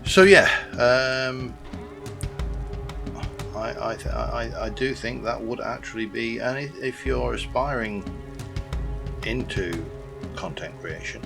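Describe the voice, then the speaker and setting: A man's voice: medium pitch, slow pace, vocal fry, long pauses. A man from South Yorkshire with a light accent, rambling and self-deprecating through casual game commentary, full of fillers and restarts. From South Yorkshire, England. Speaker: gaming YouTuber. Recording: gameplay with background music.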